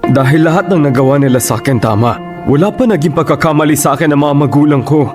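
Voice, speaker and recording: A man's voice: on the high side, fast talker, music in the background, lightly acted. An actor playing a torn, apologetic man bound by duty, speaking earnestly in a Tagalog radio romance. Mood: resigned. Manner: earnest, mixes Tagalog with English.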